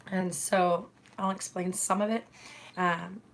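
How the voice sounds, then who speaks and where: A hoarse woman talks casually on her live stream, greeting viewers between thoughts. Her voice sits a little low and rough, and she stops often to clear her throat, filling pauses with ums and uhs. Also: fairly even intonation, noisy background. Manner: halting, many fillers, clears throat and coughs. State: hoarse after illness. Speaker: channel host streaming live.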